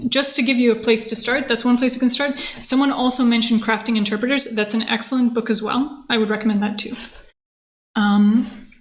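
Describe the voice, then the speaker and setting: A woman, explaining technical things casually and haltingly on a livestream. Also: a little high, fairly even intonation. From born in Moscow, grew up in Canada. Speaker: software engineer, livestream host.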